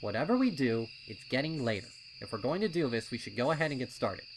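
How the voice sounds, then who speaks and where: A man's voice: medium pitch, very animated, quick pace, background noise, pitched above the performer's own voice. A man voicing an impatient, defensive young colt in a story reading.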